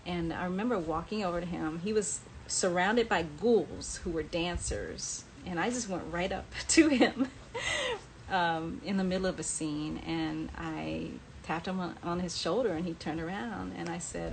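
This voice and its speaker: Low-voiced woman chatting over background noise. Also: normal speed, creaky, low-quality recording. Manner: chatty, acts out quoted dialogue. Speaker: author sharing personal memories on a livestream. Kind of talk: casual anecdotal storytelling. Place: live social media stream.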